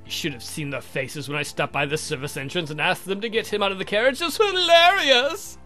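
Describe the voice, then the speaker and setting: A man voicing a sardonic, theatrical vampire count for a visual novel game, mocking and campy in a drawn-out way. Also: medium pitch, animated, pushed and bright, soft background music. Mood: gloating, mocking.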